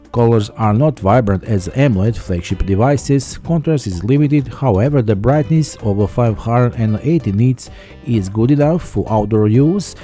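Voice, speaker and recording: A man's voice: mid-range voice, dark tone. A man narrating a scripted product review as a tech reviewer.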